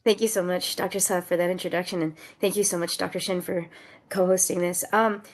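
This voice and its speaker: A woman's voice: on the low side, steady tone, a little fast. A woman author talking about her memoir, a little congested from a cold, telling stories with frequent laughs. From Southern California. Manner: laughs often, warm and storytelling. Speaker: author guest. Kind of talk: conversational book talk.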